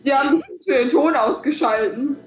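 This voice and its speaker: A woman's voice: high voice, brisk, lo-fi sound. A young woman co-hosting a let's play, teasing and rambling through casual commentary.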